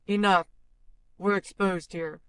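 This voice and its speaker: A woman voicing a stern, commanding video game character with deliberate, scripted lines. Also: on the low side, fairly even intonation, a bit bright, clean tone, no room sound. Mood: stern, urgent.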